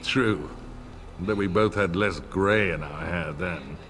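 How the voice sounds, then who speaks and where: A man's voice: sits low, slow pace. An actor playing a formal, courteous older nobleman in a game.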